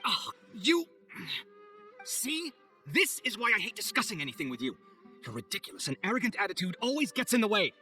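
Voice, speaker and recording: A man's voice: high-pitched, sing-song, brisk, sharp tone, soft background music, full character voice. A man playing a proud, hot-tempered young architect in a video game, speaking with theatrical, haughty exasperation. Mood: exasperated.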